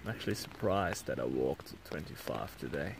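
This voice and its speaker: A young man with a Belgian accent recapping his hike in a casual, low-key, halting way, talking to a handheld camera inside a small tent. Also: lively intonation. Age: young adult. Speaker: hiker vlogger. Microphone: camera or phone at arm's length. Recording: clearly noisy small-camera recording.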